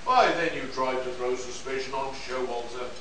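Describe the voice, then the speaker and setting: A man's voice: mid-range voice, moderate pace, a bit bright, natural voice. A man playing a cocky space detective in a pulp radio play, laying out his case in a theatrical, expository way. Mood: smug accusing. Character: cocky male space detective explaining a murder. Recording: echoey hall, distant mic, faint noise.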